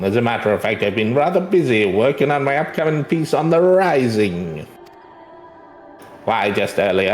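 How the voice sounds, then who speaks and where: A man voicing a brisk, self-important newspaper reporter in an old-timey radio style. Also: on the low side, echoey room, close to an everyday voice.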